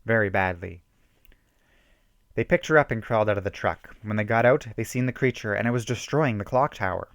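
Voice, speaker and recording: A man's voice: medium pitch, fast, hardly any pauses, no echo. A man giving an emphatic, theatrical reading of a horror story, stumbling now and then. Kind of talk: dramatic reading of a self-written horror story.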